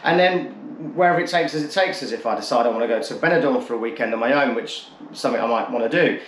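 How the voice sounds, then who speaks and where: A man's voice: mid-range voice, quick pace, slight background noise. A man, talking casually and candidly to camera as a vlogger, rambling a little and rephrasing himself as he goes. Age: late 40s. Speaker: vlogger introducing his channel. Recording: echoey untreated room.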